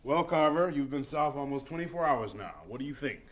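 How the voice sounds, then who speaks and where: An actor playing a stern, pragmatic middle-aged college president in a radio drama, lecturing briskly with authority. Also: male voice, medium pitch, expressive, lightly acted. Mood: stern, cautioning.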